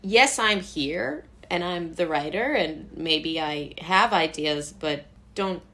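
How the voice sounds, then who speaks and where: An American woman with a fairly deep voice chats informally as a guest. Her talk rambles, peppered with "like", as she interrupts herself and circles around her point in an easygoing conversational style. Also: slow. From Brooklyn, New York, USA. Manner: rambling, animated asides with frequent "like" and self-interrupting laughs. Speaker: playwright, director and actor, interview guest.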